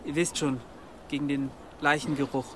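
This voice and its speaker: Man acting an obedient, higher-voiced young monk. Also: flat delivery, moderate pace, background noise, lightly acted. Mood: eager. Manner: deferential. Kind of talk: German radio drama acting.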